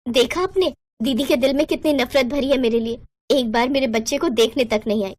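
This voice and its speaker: A woman's voice: high voice, a little fast. A woman voicing a jealous, quarrelsome younger sister-in-law in a story, with an indignant, accusing, argumentative manner. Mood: bitter.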